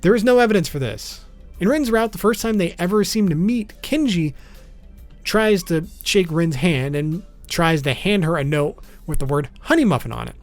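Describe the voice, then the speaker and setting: Higher-pitched man, lively explainer narration. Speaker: video host. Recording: background music under voice. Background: music.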